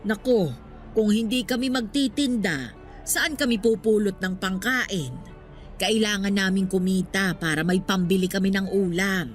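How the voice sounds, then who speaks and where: A woman's voice: medium pitch, a little slow, soft background music, close to an everyday voice. Lady doing old granny street seller, sixty, talky and naggy but proud, filipino radio drama. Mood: resigned, proud. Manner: chatty, chiding. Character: talkative elderly woman street vendor, sixty, scolding but proud.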